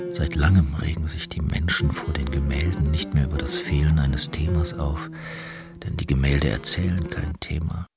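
A man playing a weary, irritable composer, reading his studio diary in measured literary German for an experimental radio play. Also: a bass voice. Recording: loud music under the voice.

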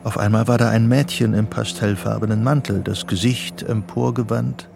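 An actor narrating a psychological thriller radio play as a sober storyteller. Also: male voice, on the low side, steady tone, normal speed, gravelly, noisy background. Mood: sober, suspenseful. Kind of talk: scripted literary narration.

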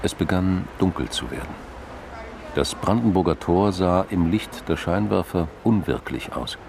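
A man's voice: sits low, expressive, measured pace, gravelly, background noise. A man reading scripted narration in a crime radio play.

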